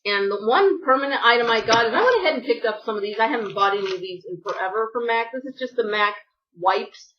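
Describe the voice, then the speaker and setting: A woman's voice: on the high side, animated, fast, distant mic, lo-fi sound, slight background noise. A woman chatting through a casual makeup haul vlog, rambling with apologetic asides and sniffly from allergies. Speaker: beauty vlogger.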